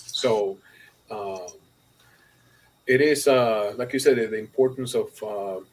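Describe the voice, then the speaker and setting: A man co-hosting a casual business podcast, talking in a halting, repetitive way and checking the listener follows. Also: lowish voice, a little hiss or hum, low-quality recording. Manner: halting, repetitive, often asks "you know what I mean". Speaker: podcast co-host and accountant. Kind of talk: casual conversational business talk.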